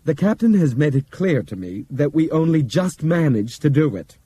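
Man voicing a slow solemn formal man, husky, dry, storybook reading. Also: fairly high pitch, sing-song, dark tone, no room sound, pitched above the performer's own voice. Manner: slow, measured, solemn announcing.

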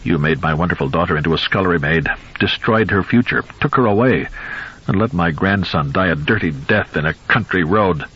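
A man voicing an enraged, grieving old man who hectors and screams, with a husky voice on a dull recording. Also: low voice, fairly quick, fairly bright tone. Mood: bitter. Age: older. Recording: dull lo-fi audio.

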